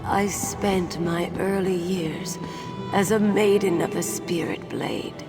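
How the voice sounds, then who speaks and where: Warrior maiden ghost in a fantasy game, wistful n solemn, really slow storytelling, faint music. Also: female voice, lowish voice. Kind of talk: scripted fantasy game acting.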